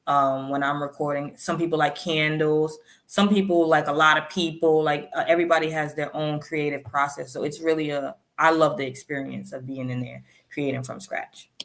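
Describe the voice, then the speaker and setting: Low-voiced woman telling stories. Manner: animated, chatty storyteller. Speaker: rapper guest. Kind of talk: interview storytelling.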